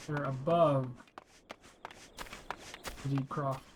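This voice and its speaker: A man's voice: medium pitch, fairly even intonation, drawn-out words, background noise. A man giving casual, blunt and sweary commentary as he plays and describes a video game. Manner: reads game dialogue aloud. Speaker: video game streamer describing gameplay for blind listeners.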